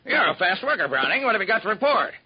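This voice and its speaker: An actor playing a brash, slangy, self-made music publisher in an old-time radio drama. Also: male voice, sits high, very animated, quick pace, slight background noise. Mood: brisk, amused. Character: brisk, self-made male music publisher, colloquial and eager. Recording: muffled vintage radio recording.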